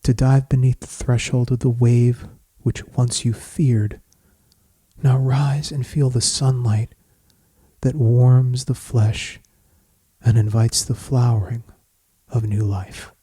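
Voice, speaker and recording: A man leading a guided meditation in scripted poetic narration, speaking in a measured, soothing, solemn manner with long pauses. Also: medium pitch, little pitch movement, mellow, breathy edge, dry recording.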